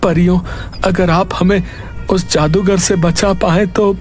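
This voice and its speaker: A man's voice: sits high, fairly even intonation, takes it slowly, faint music behind. A man playing a humble, pleading villager in a storybook tale, with a deferential manner. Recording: faint background noise.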